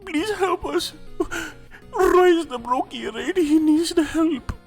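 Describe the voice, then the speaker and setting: A woman's voice: very high voice, animated, darkish tone, heavily acted. A woman voicing a cheeky, rambling comic mascot who playfully begs viewers for support in an anime fan skit. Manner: halting, playful, tags phrases with "right?". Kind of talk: comic skit membership appeal. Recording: faint music behind the voice.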